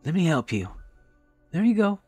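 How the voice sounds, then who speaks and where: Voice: medium pitch, sing-song, moderate pace, warm tone, slightly rough, no echo, soft background music, pitched above the performer's own voice. A narrator voicing a kindly, chatty old grandmother in an audiobook, motherly and emphatic. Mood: urging, kindly.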